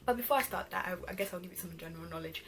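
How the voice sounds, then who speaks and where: A woman's voice: lowish voice, very fast, noisy background. A 21-year-old woman from Ghana who later moved to England, chatting casually and conversationally as a vlog host. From Ghana, later England from age twelve. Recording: background music under voice. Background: R&B pop music.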